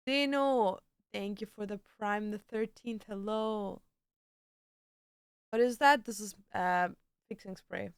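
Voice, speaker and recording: A woman's voice: medium pitch, slow pace, long pauses, clear, resonant tone, no echo, clean studio sound. A woman livestreamer chatting casually and answering viewers, with a slangy, blunt and playfully sweary way of talking. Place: indoor home streaming setup.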